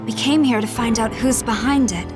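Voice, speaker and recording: A woman's voice: a little high, normal speed, lightly acted. A young woman playing an earnest, compassionate heroine in a video game, with a questioning manner. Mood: puzzled, earnest. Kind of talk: dramatic video game voice acting. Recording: music behind the voice.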